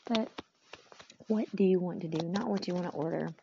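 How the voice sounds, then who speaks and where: A woman hosting a podcast, talking in a rambling, heartfelt way and often dropping to a soft whisper. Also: lowish voice, moderate pace, creaky, lo-fi sound, noisy background. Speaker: podcast host. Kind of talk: casual reflective monologue.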